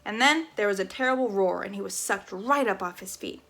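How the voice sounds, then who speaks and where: A woman reading a children's chapter book aloud in an expressive storytelling style. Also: mid-range voice, fairly quick, gravelly.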